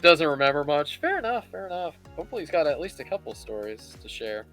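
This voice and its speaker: A man's voice: on the high side, big pitch swings, quick pace, fairly bright tone, faint music behind. A man hosting a casual live painting tutorial, thinking aloud and rambling as he works. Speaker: livestream host teaching miniature painting.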